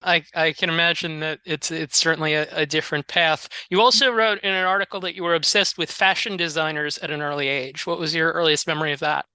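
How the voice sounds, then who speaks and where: A man hosting a podcast interview, asking brief and friendly questions. His voice is bright and crisp, and the recording is dry and close, with no room sound. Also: fairly high pitch, fairly even intonation, quick pace, hardly any pauses, no echo. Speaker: podcast host.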